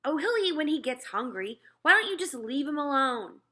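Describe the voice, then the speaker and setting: A woman's voice: high voice, big pitch swings, moderate pace, bright, forceful tone, voice pushed up, heavily acted. A woman voicing an exasperated fourth-grade boy in a storybook, protesting emphatically. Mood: dismissive.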